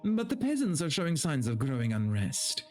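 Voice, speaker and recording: A man's voice: fairly high pitch, huge pitch swings, normal speed, darkish tone, soft background music. A man voicing a deferential noble courtier in a fantasy story, speaking formally in archaic phrasing. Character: deferential male noble courtier reporting to his ruler.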